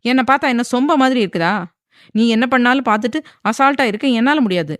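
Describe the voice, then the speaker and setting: A woman's voice: a little high, expressive, quick pace, dry recording, voice pushed up, natural voice. Woman voicing a stern young husband, firm and lecturing, dry sound. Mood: angry.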